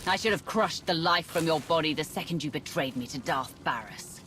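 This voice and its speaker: An actress playing a cold, vengeful Sith warrior commander in a video game, menacing and commanding. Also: female voice, low-pitched, animated, fairly quick, over music. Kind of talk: cinematic video game voice acting.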